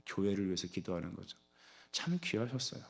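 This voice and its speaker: A man's voice: sits low, brisk, gravelly. A man preaching an expository sermon as a pastor, exhorting his listeners and often putting rhetorical questions to them.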